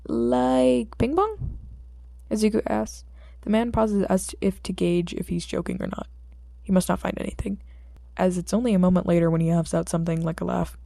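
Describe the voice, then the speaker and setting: A woman's voice: on the low side, little pitch movement, fairly quick, vocal fry, warm tone, dry recording. A woman reading scripted fiction as an audiobook narrator.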